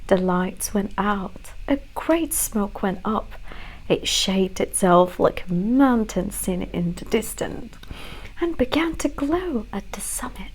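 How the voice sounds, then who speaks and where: Woman doing asmr story reading, slow gentle whisper, british canadian mix accent, some noise in the background. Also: medium pitch, lively intonation, poor audio. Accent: mixed British and Canadian. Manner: gentle, hushed, unhurried delivery.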